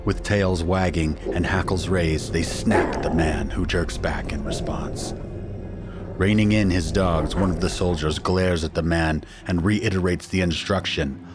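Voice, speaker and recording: An actor playing a shaken husband and father who narrates his ordeal in a grave, measured, first-person style for an audio drama. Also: low-pitched, fairly even intonation, over loud music. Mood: grave, tense. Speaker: narrator and main character.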